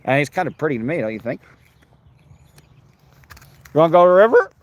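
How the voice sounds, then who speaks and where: A man's voice: a little high, big pitch swings, fairly quick, no echo, dull audio. A man rambling casually on a livestream from a car, talking to his dog and his viewers. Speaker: livestreamer on a road trip.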